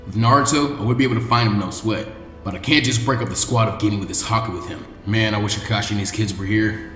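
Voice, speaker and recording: A protective ninja mentor, performed by a male narrator, low in pitch and firm in delivery. He lectures with a wry edge, as in an audio storybook, over faint music. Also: fast talker, a bit bright, close to an everyday voice. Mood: resigned, calm. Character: stern, protective adult male ninja squad leader.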